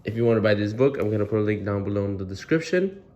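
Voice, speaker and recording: A man's voice: fairly low pitch, expressive, very fast, warm tone, muffled recording. A man hosting a read-aloud, reading a children's storybook expressively with casual asides.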